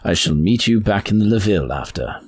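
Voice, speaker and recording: Man acting a deep, courteous royal retainer. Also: lively intonation, a little fast, dry recording, clean studio sound. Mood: composed. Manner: formal, polite. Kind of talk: audio drama acting.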